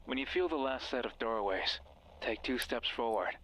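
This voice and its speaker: A man playing a mysterious, controlling guide in a horror game, speaking in a commanding, condescending, deliberate way with menace and false care. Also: medium pitch, expressive, a bit bright, slight background noise, close to an everyday voice, poor audio. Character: mysterious controlling male voice guiding through a hospital, menacing, falsely caring. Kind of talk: horror visual novel voice acting. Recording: music behind the voice.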